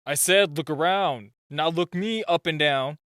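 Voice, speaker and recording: A man's voice: a little high, unhurried, fairly bright tone, smooth, dry recording. Man acting a shy, stammering gadget nerd, dry close sound. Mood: flustered, businesslike. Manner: nervous, stammering, flustered. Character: super-shy, flustered nerdy male gadget inventor. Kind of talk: amateur roleplay audio acting.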